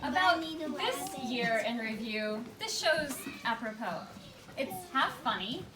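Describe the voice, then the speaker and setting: A woman reading rhymed, scripted narration to a live audience as the presenter of a puppet show, in a storybook manner with deliberate pauses. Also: on the high side, animated, loud music behind the voice. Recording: distant mic, echoey room, noisy live recording.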